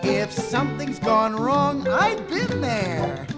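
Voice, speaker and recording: An actor voicing a small, polite young male bear, singing and telling his story eagerly over loud band music on a children's record. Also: very high-pitched, huge pitch swings, slow pace, full character voice. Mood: cheerful singing. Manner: bright, eager, sing-song. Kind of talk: sung children's record with narration.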